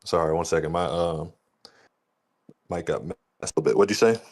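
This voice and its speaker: A man's voice: low-pitched, steady tone, normal speed, darkish tone. A man talking casually as a league commissioner on a podcast, in a colloquial, rambling way peppered with "you know".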